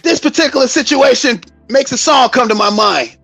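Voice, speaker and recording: Gravelly American man giving mock testimony. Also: extremely high pitch, moderate pace, fairly bright tone, no room sound, faint music behind. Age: around 50. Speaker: YouTube live stream host. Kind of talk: comedic mock church testimony.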